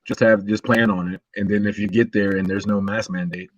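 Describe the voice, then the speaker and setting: A relaxed man hosting a stream, his voice sitting a little low. He talks in an easygoing drawl, pausing on "uh" and "um" as he reads out chat and chats along. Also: muffled recording. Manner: laid-back, drawling, many "uh" and "um" fillers. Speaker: livestream host. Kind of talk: casual chat, reading chat messages.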